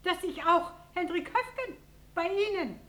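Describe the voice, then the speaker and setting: A woman's voice: very high voice, animated, unhurried, pushed and bright, a little hiss or hum, full character voice. An actress playing a sceptical, gossipy theatre woman in a radio drama, pointed and ironic. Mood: sardonic.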